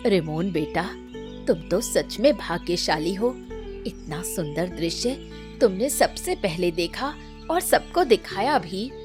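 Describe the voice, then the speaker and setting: Woman playing a slow-talking gentle grandmother. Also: fairly low pitch, lively intonation, over music. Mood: gentle, admiring. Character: gentle, indulgent village grandmother. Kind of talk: Hindi cartoon story dialogue.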